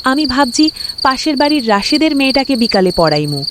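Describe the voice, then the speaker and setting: A woman voicing a gentle, hopeful village mother who reassures her husband. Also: high voice, very animated, a little fast. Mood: tender. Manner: soothing, reassuring. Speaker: cartoon voice actor. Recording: loud cricket and insect noise.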